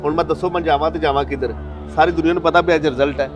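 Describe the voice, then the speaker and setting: A man playing an emphatic, excitable middle-aged father with a Pakistani Punjabi accent in a moral drama. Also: a little high, very fast, noisy background, close to an everyday voice. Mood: aggrieved. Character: proud, hopeful father who turns furious. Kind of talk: acted moral-story drama.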